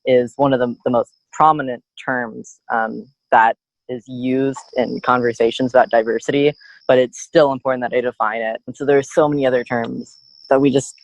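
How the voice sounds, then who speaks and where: A young man speaks close to a dry microphone, with a gravelly, creaky edge to his mid-pitched voice. He tells a personal story in an earnest, reflective way and leans on casual fillers as he goes. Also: fairly even intonation, moderate pace, dry recording, low-quality recording. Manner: earnest, reflective, with frequent "like" and "you know" fillers. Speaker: student guest.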